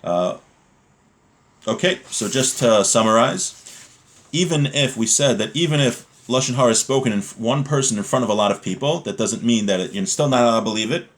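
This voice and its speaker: A man's voice: mid-range voice, lively intonation, brisk. Man teaching a religious text, explaining as he goes. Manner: explanatory, asks and answers own questions. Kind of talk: informal lesson, reading Hebrew text and explaining it in plain words.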